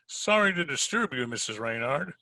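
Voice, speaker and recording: An actor playing a tough, hard-boiled private detective in 1940s radio drama style, sardonic and slangy. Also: male voice, medium pitch, big pitch swings, moderate pace, creaky, sharp tone, no room sound, heavily acted, poor audio. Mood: cool, accusing.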